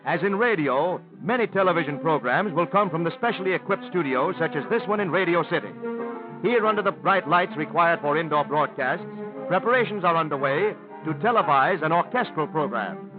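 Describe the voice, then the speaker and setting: An American man narrates in the formal announcer style of a 1930s newsreel. His voice sits fairly high and comes through a thin, tinny old film soundtrack. Music plays clearly behind his scripted, declarative delivery. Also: expressive, fairly quick.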